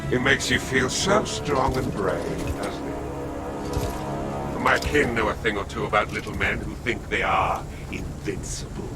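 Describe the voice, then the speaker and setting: An actor playing a proud, bitter warrior foe in a video game, taunting and deliberate in his threats. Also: lowish voice, fairly even intonation. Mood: menacing. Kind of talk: video game cutscene acting. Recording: loud music under the voice.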